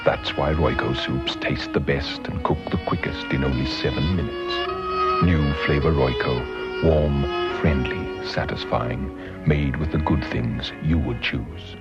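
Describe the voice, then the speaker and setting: A man's voice: extremely low pitch, steady tone, a little slow. A man narrating a vintage radio commercial in a salesmanlike style. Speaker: advert announcer. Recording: dull old radio ad, loud music.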